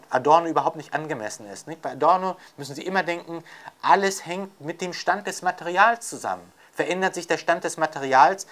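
A man's voice: fairly high pitch, fairly bright tone. A man talking spontaneously and emphatically in an opinionated interview style, often repeating his points to drive them home. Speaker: interviewee, commentator on media theory.

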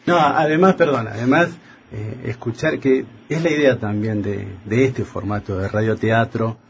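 Man, creaky voice, Rioplatense accent, casual studio chat, halting delivery, faint background noise. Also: mid-range voice. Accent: Rioplatense Argentine Spanish. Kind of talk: casual radio studio conversation.

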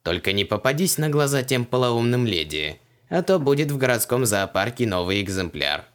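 A man voicing an elderly father in an audiobook, gruff, caring and dryly teasing. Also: medium pitch, big pitch swings, normal speed, close to an everyday voice. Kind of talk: audiobook character voice.

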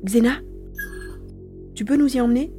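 A woman's voice: a little high, moderate pace, mellow, soft background music, close to an everyday voice. A woman playing a plucky, irritable adolescent boy in a science-fiction audio drama. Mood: tense, urgent.

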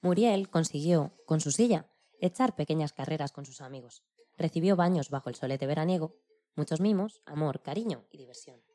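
Female narrator, deep soft-toned voice, scripted storytelling for a radio programme. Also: expressive, brisk.

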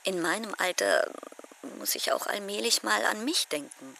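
A bright, forceful weary woman, blunt and impatient. She plays it naturalistically, as a radio drama phone call, with faint traffic-like ambience behind her. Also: mid-range voice, normal speed, gravelly. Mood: fed up.

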